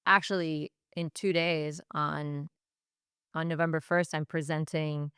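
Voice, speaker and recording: A woman's voice: sits low, flat delivery, measured pace, fairly bright tone, clear, resonant tone, no echo, studio quality. A woman speaking as a teacher being interviewed, giving reflective answers in thoughtful, stop-and-start phrasing that repeats itself. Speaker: teacher and language department head, podcast guest.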